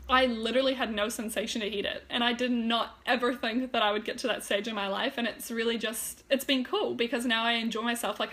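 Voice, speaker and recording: A woman's voice: fairly high pitch, fairly bright tone. A woman whose first language is German, chatting casually and rambling to viewers on a live stream, with frequent fillers and restarts. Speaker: wellness vlogger.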